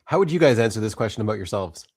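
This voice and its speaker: Wry man with a lowish voice. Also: animated, very fast, a little mellow. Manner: wry, teasing remarks. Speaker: participant in an online group chat. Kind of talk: casual chat.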